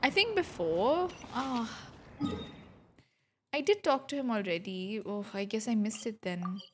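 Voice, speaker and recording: A woman's voice: fairly high pitch, lively intonation, unhurried, background noise. A young woman streaming a game, chatting and reacting casually to it. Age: young adult. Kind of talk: casual livestream reaction chat.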